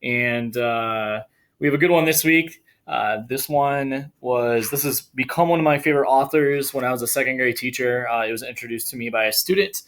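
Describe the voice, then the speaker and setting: A man who works as an elementary school educator, reading a picture book aloud to children in an expressive, playful way with casual asides. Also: mid-range voice, normal speed.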